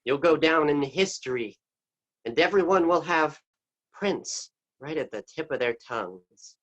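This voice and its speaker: Dude playing a sly wolf villain, kinda high, smooth talker, muffled dull sound. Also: male voice, animated, measured pace. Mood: persuasive. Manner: persuasive and wheedling. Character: smooth-talking, scheming male wolf villain. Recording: dull muffled stage recording.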